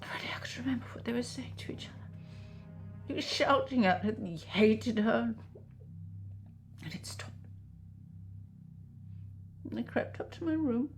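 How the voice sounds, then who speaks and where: Bitter British aunt, animated delivery. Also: female voice, fairly high pitch, moderate pace, pauses often, in a whisper, faint music behind. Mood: haunted, reflective. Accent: British English. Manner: acerbic, dramatic, emphatic. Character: bitter, scornful middle-aged aunt with painful childhood memories. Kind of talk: naturalistic screen acting in an interactive mystery film.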